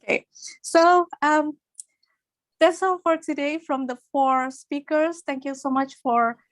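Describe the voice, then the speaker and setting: Female webinar moderator, polite and formal, slightly high voice, dry close sound. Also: fairly even intonation, takes it slowly, dry recording. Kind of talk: formal closing remarks.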